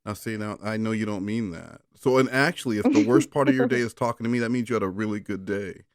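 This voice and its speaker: A man's voice: on the low side, quick pace, dry recording, studio quality. A man chatting casually and reading a story aloud on a phone call, coaxing and teasing in a rambling way. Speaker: bedtime story reader on a call.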